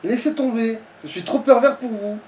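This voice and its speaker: A man's voice: extremely high pitch, normal speed, darkish tone. A man chatting casually as he plays through a video game, often swearing and joking crudely. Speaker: let's play gamer playing. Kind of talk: casual gaming chat with a co-commentator. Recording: distant mic, faint background noise.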